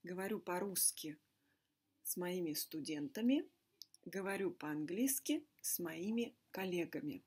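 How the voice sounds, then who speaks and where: A woman teaching a language lesson in deliberate, simple, carefully repeated phrases. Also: lowish voice, expressive, darkish tone, husky. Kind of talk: slow scripted language lesson monologue. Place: indoor room with a fireplace.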